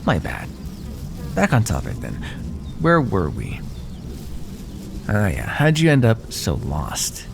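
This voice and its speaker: Deep, smug snake-man predator, acted by a man. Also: wildly swooping pitch, slow pace, gravelly, long pauses, background noise, full character voice. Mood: casual menace. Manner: mocking, condescending, drawling pet names. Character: smug predatory male naga, teasing and menacing. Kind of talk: ASMR fantasy roleplay.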